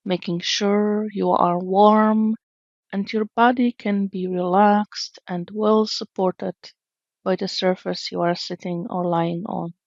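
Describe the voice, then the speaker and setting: A woman leading a guided meditation in a gentle, soothing, unhurried way with long pauses, in a slightly dull recording. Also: medium pitch, no room sound. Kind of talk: guided meditation, slow calm narration.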